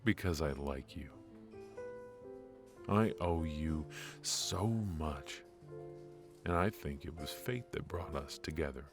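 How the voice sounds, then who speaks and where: A man playing a wry, tender mafia boss in an intimate ASMR roleplay, speaking in a halting, low-key way. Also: vocal fry, full character voice. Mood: tender, teasing. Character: brilliant ruthless male mafia boss, softened, wry and tender. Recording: music behind the voice.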